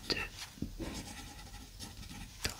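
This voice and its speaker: A woman doing a soft, hushed ASMR medical roleplay, narrating her own actions unhurriedly as an examiner. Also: very slow, noisy background.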